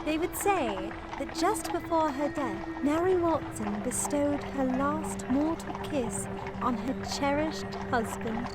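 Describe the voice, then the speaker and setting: An actress playing a spirited Victorian bride and sharpshooter in a radio drama, with a theatrical, exclamatory delivery. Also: female voice, sits high, expressive, takes it slowly. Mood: tender. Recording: loud dramatic music bed. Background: music.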